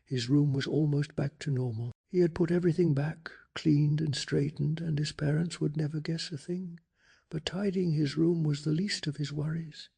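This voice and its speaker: A man softly narrating a bedtime story in ASMR style, hushed and calming, with a breathy, gravelly voice. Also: on the high side, normal speed.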